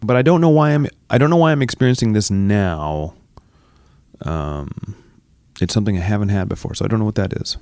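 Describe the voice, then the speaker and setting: A man's voice: on the low side, a little fast, darkish tone, no room sound, clean studio sound. A man co-hosting a running podcast, chatting in a teasing, rambling way. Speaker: podcast co-host. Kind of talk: casual chat. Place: studio.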